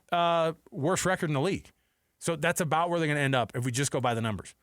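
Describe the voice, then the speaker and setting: A man's voice: mid-range voice, a bit bright, no room sound, clean studio sound. Emphatic American man hosting sports radio. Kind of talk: opinionated sports talk commentary. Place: radio studio.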